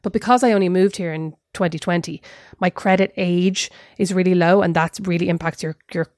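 Irish woman rattling on fast in a casual podcast chat, laughs at herself. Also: lowish voice, fairly even intonation, a little mellow, no echo, clean studio sound. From Ireland. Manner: chatty, rambling, self-mocking. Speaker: podcast co-host.

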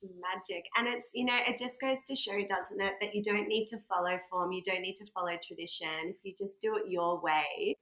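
A woman's voice: mid-range voice, brisk, few pauses, fairly bright tone. A woman hosting a podcast interview, talkative and asking long run-on questions. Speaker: podcast host. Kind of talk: casual interview chat. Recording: roomy echoey sound.

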